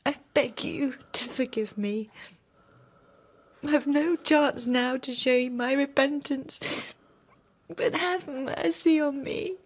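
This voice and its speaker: An actress playing a remorseful young wife in a radio drama, halting and pleading with emotion. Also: female voice, sits high. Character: remorseful young wife begging her dying husband's forgiveness.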